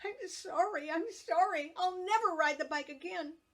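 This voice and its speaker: A woman voicing a docile, obedient young girl in a spoken family story, raised in pitch and loud. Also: moderate pace. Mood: anguished. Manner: pleading, then frantic cries.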